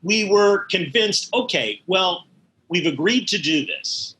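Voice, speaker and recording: Bright-voiced man reminiscing, a bit high. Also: expressive, slow, rough recording. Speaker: interview guest, animation studio veteran. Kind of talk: conversational recollection.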